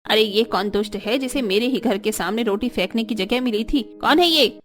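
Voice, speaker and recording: A woman's voice: on the high side, moderate pace, natural voice. A woman voicing a haughty, quarrelsome middle-aged mother-in-law in a cartoon story, scolding and boastful. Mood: angry, accusing. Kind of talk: Hindi cartoon story voice acting.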